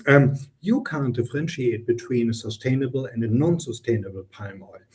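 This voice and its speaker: A man's voice: medium pitch, animated, normal speed, a little mellow. A middle-aged man from Germany with a German accent, explaining business topics earnestly in an interview, with frequent ums and restarts. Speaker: guest consultant.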